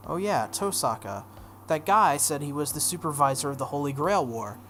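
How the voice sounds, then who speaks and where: Earnest novice-mage teen boy read by a male player, visual novel, questioning, slightly high voice. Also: animated, moderate pace, fairly bright tone. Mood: curious, earnest. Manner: questioning, plain-spoken. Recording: noticeable background noise.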